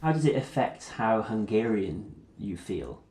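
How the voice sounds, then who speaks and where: A man asking gentle, probing interview questions. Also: on the low side, big pitch swings, a little slow. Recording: echoey room with faint noise.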